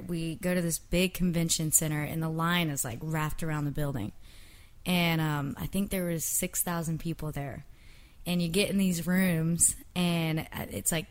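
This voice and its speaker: Soft-spoken young woman with a low voice. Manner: soft-spoken, laughs often, trails off with fillers. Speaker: singer and guest. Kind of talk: casual interview chat.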